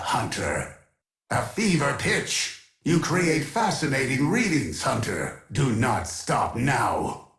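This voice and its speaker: An imperious male overseer of a science-fiction game sanctuary, speaks in a medium-pitched voice wrapped in strong echo. He is formal and clinical, a cold experimenter who commands and judges with pompous authority. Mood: intent, fascinated. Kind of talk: scripted video game character voice acting. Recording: echoing, reverberant game voice.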